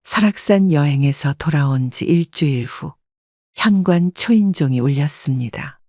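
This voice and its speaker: A woman narrating a first-person story in a calm, measured way. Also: low voice, no echo, clean studio sound.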